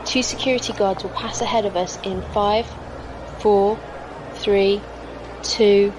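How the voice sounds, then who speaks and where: A woman's voice: mid-range voice, fairly even intonation, measured pace, lo-fi sound, noisy background, lightly acted. A woman in a science fiction audio drama playing a loyal, obedient female squire who speaks formally and matter-of-factly. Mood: focused, precise. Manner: formal, precise, matter-of-fact.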